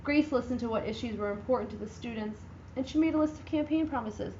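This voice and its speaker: Expressive woman reading a children's story. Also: on the high side, quick pace, roomy sound, muffled recording, slight background noise. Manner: expressive, lively character voices. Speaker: reader. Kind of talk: dramatic reading of a children's book.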